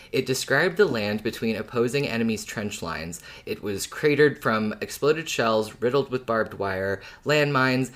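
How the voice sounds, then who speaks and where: A man's voice: lowish voice, a bit bright. A man co-hosting a podcast, explaining things informally with conversational asides. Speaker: podcast co-host.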